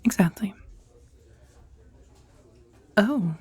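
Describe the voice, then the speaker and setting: Casting: actress as sheepish yet teasing dominatrix for ASMR date roleplay; very breathy, soft near-whisper, close dry mic. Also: female voice, medium pitch, expressive, very slow, no echo, exaggerated voice. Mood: frank, reassuring, then teasing, flirty. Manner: hesitant, confiding, teasing, with sighs. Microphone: close, dry ASMR microphone.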